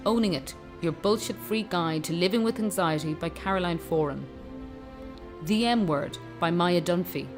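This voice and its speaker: A woman presenter reading out award nominees. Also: on the low side. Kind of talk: announcing. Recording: music and crowd behind.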